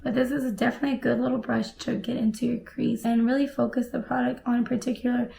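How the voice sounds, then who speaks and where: Hoarse, gravelly young woman vlogger. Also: fairly high pitch, quick pace, soft background music. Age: young adult. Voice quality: raspy. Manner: relaxed, chatty product commentary while applying makeup. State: hoarse, recovering from lost voice. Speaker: beauty vlogger. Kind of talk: casual makeup tutorial chat. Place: small home room, indoors.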